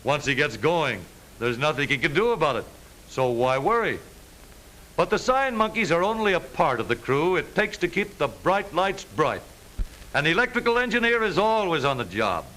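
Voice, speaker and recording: Bright, animated American film narrator, a man. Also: fairly high pitch, normal speed, poor audio. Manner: wry, jaunty, wisecracking asides. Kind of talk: vintage scripted newsreel-style narration.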